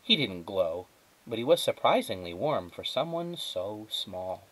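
A man's voice: on the low side, very animated, measured pace, fairly bright tone, a little hiss or hum, low-quality recording. A man reading a children's storybook aloud as a teacher, lightly acting out the dialogue.

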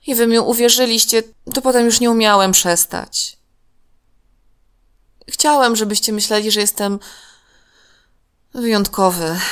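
Lady doing a sorry little boy for a kids story, higher voice, earnest and pleading, clear. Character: remorseful young boy confessing his lies to friends.

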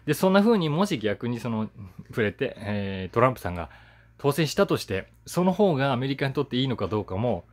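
Animated, chatty man with swooping pitch. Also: a little fast. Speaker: voice actor hosting his own talk channel. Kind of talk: casual chatty commentary to camera.

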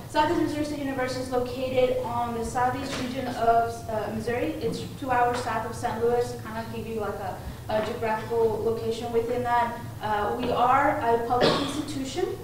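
A woman's voice: on the high side, steady tone, a little fast, few pauses. A young woman from Mexico whose first language is Spanish gives an informal conference talk with frequent fillers and restarts, standing in a conference room. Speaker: conference presenter. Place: conference room with projector screen. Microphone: room mic heard from a distance. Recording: echoey room, distant mic, background noise.

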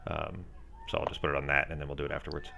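A man's voice: a bass voice, completely flat delivery, racing, creaky, pushed and bright. A man playing in a tabletop game over voice chat, chatty and helpful as he explains the rules. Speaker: player. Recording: voice chat with faint hiss.

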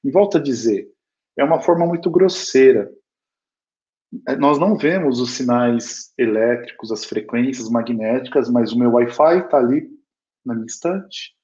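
A man's voice: mid-range voice, normal speed, dark tone. A man in his thirties with a Brazilian accent giving an informal, explanatory spiritual lecture in a conversational way over a video call. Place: small home room with bookshelves. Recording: dull lo-fi video call audio.

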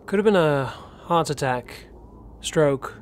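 An actor playing a grim, matter-of-fact paranormal investigator in an audio horror drama, terse and subdued. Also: male voice, fairly high pitch, animated, slow pace, slight background noise.

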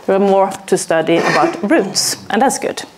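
A woman in her thirties from Norway with a Norwegian accent, teaching arboriculture and presenting to an audience, unhurried, with pauses, filler words and questions to the room. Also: medium pitch, lively intonation, noisy background. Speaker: arboriculture teacher giving a talk. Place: small hall with plywood walls and a projector screen. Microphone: far camera, no mic seen.

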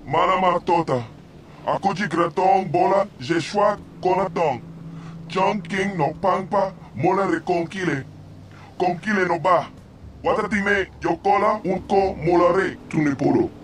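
An actor playing a stubborn alien guide in a video game, speaking an invented tongue in an emphatic singsong. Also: male voice, high-pitched, normal speed, a little hiss or hum, lightly acted. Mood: agitated, stubborn.

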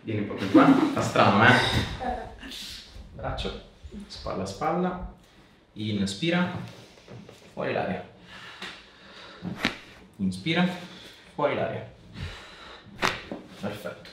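A man's voice: medium pitch, very animated, takes it slowly, pauses often, fairly bright tone. A man in his thirties giving short, reassuring treatment instructions as a chiropractor and asking the patient questions. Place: small indoor clinic treatment room. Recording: very echoey room, distant mic, faint noise.

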